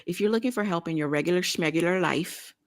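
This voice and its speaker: A woman's voice: fairly low pitch, fast. A woman with a Texas accent co-hosting a casual talk show, making announcements with joking asides. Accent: Texan. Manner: chatty, joking asides while giving announcements. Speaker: radio show co-host.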